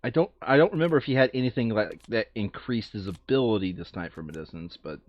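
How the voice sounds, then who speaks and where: Guy with a lowish voice talking thru a board game, thinking out loud, dry dull lo-fi audio. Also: lively intonation, quick pace, no echo. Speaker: board game player on a playthrough video. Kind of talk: casual chat while playing a board game.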